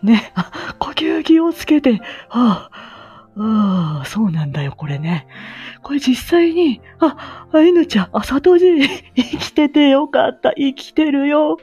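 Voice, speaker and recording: A woman's voice: high-pitched, lively intonation, unhurried, mellow, highs cut off, faint music behind, pitched above the performer's own voice. A woman voicing a sleepy, easygoing old grandpa who rambles chattily in a livestream. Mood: sleepy, friendly. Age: older.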